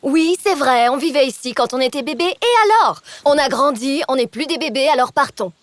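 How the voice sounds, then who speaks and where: A woman's voice: high-pitched, brisk, dry recording, close to an everyday voice. A woman voicing a bored, impatient young woman in a French cartoon dub. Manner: impatient, sulky. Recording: studio dub with music behind.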